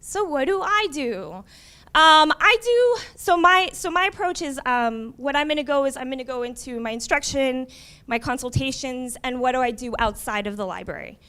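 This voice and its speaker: High, smooth-voiced woman giving a talk. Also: lively intonation, normal speed, sharp tone. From Miami, USA. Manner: conversational, with wry asides. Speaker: community college librarian. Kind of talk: conference presentation.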